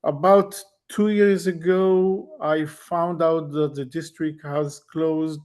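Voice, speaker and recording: A man in his fifties with an Israeli accent, speaking as a school board candidate at a forum with a halting, wry, self-correcting delivery. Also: on the high side, fairly even intonation, a little mellow, smooth. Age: 50s.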